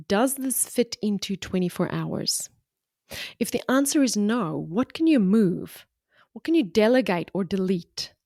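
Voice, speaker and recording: A woman's voice: mid-range voice, a little mellow, no room sound, clean studio sound. A middle-aged woman from South Africa with a mixed South African and Australian accent, hosting a motivational solo podcast for business owners in a frank, encouraging way. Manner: frank, encouraging, punchy short phrases. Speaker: podcast host and business coach.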